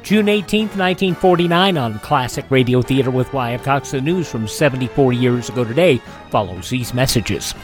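A man's voice: medium pitch, animated, normal speed, music in the background. A man hosting a radio show, announcing and reading copy in a conversational style. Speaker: radio host.

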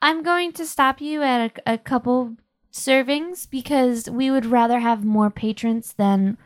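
A woman playing a firm but accommodating female tavern barkeep in a tabletop game, talking plainly with hesitant pauses. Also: a little high, no echo, studio quality, voice pushed up, natural voice. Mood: firm, patient. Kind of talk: tabletop roleplay.

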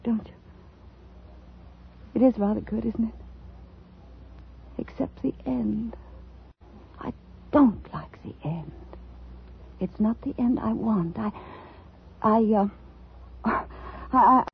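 An actress playing an unstable, haughty woman writer bent on revenge in a 1950s radio thriller, intense and halting, quick to flare. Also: on the high side, animated, gravelly, slight background noise, heavily acted. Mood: wistful, unsettled. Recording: tinny old radio broadcast, music behind.

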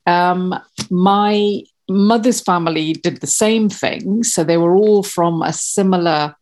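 A woman's voice: on the low side, slow, darkish tone. A woman in her fifties from Malawi, a podcast guest talking about her family, hesitant with many fillers and restarts. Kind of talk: casual interview chat.

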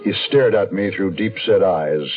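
A hunted, weary American narrator of a radio mystery, low in pitch and brooding. He tells his tale with deliberate dramatic weight. The sound is narrow and lo-fi, with faint music behind. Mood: wistful. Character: hunted, weary man on the run, narrating his own story. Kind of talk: 1970s radio mystery drama, first-person noir narration.

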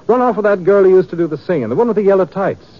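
An actor playing a florid, small-time traveling actor in a radio western, with a theatrical, folksy way of talking. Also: male voice, sits high, expressive, racing. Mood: breezy, boastful. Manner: theatrical, folksy drawl turning grandiloquent. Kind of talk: 1950s radio western acting. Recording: dull lo-fi 1950s radio recording.

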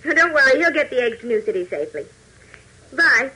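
A woman playing a brisk, capable young airport office assistant in a 1940s radio adventure. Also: sits high, big pitch swings, sharp tone, husky, full character voice, rough recording. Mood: reassuring.

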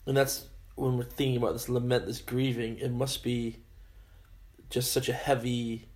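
A man interviewing a guest, speaking gently and reflectively, affirming what she says. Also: medium pitch, steady tone, moderate pace. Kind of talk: conversational interview.

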